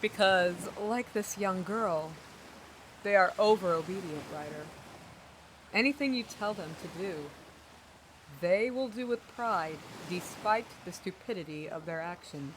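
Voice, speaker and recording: A woman's voice: mid-range voice, unhurried, slight background noise, close to an everyday voice, poor audio. A woman playing a stern, commanding captain of the royal guard in a fantasy audio drama, speaking bluntly and with measure. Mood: wry. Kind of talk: scripted fantasy audio roleplay.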